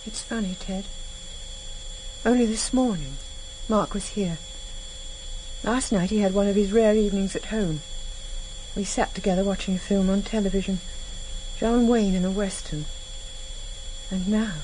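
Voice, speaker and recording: An actress playing a proud, wounded and defiant wife in a British radio drama, speaking insistently. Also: female voice, medium pitch, a little slow, long pauses, warm tone, lightly acted. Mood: shaken, wistful. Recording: noisy vintage radio recording.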